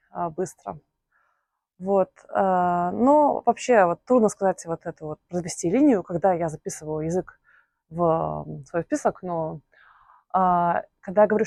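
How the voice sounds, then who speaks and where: A woman's voice: medium pitch, darkish tone, no echo. Hesitant young woman vlogging in a dry room. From Belarus. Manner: thoughtful, hesitant, with many pauses and filler sounds. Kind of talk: casual talk to camera.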